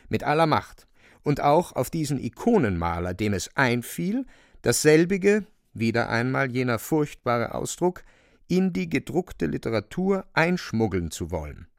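A biting, indignant chronicler in a radio play. His voice is gravelly and very dry, with lively swings of pitch. He declaims each phrase with emphasis. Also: unhurried, dry recording. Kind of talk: dramatic radio play narration.

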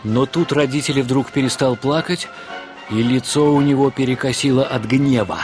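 A man's voice: medium pitch, normal speed, close to an everyday voice. A man narrating a literary comic story for a radio play. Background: music.

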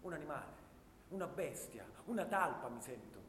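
Distant and echoing, a despairing trench soldier, played by a man declaims in a fairly high voice. It is an anguished theatrical monologue, carried through a reverberant hall. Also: big pitch swings, moderate pace, fairly bright tone. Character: despairing trench soldier, trapped in darkness like an animal. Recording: echoey hall from far off, faint noise.

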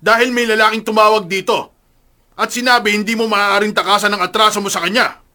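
A man's voice: high-pitched, fairly even intonation, fairly quick, pushed and bright. An actor playing a stern, suspicious, confrontational father in a radio drama. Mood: angry, accusing. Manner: confrontational, demanding.

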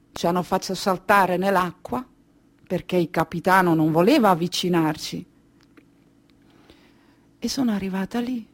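An actress as a Kurdish refugee woman telling of her flight on stage. She speaks very slowly and deliberately, with weary, haunted feeling and pauses between short phrases. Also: fairly low pitch, creaky, husky, full character voice. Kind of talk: slow dramatic theatrical monologue.

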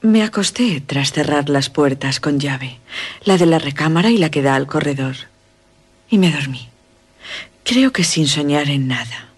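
A low, husky female voice, an enigmatic young Gothic beauty. She has a Castilian Spanish accent and a languid, dramatic radio-play delivery. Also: expressive, unhurried, heavily acted. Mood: dreamy, mysterious.

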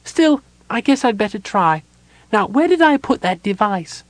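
A man's voice: high-pitched, big pitch swings, moderate pace, no room sound, voice pushed up. A man voicing a naive, cheerful little kender, an eager and easily distracted chatterer from a fantasy audiobook. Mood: dismayed, flustered. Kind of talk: audiobook character voice.

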